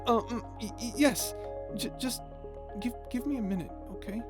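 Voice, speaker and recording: A woman plays a gentle, childlike creature in a space audio drama. The voice sits fairly high and is timid and earnest, often stammering and hesitating. Clear music runs underneath. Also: moderate pace, lightly acted. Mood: flustered, startled. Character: timid, childlike, gentle non-human crew member. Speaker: voice actor in audio roleplay. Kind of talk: ASMR audio drama roleplay.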